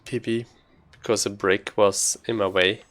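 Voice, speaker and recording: A man giving casual, low-key live commentary on his own speedrun, muttering to himself as he plays. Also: fairly even intonation, a little slow, fairly bright tone.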